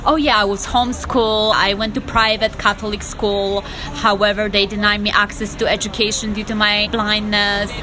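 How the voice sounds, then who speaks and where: A woman's voice: mid-range voice, little pitch movement, normal speed, few pauses, a crowd in the background, low-quality recording. A young woman from Poland with a Polish accent, telling her personal story as an interview guest in short, emphatic sentences. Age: young adult.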